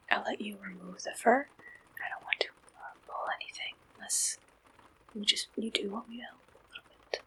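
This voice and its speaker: A woman doing a gentle, polite ASMR roleplay as a friendly, helpful service clerk, speaking in soft whispers. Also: medium pitch, low-quality recording. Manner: gentle, polite, attentive customer-service way of talking. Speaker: ASMR performer playing a dating service receptionist.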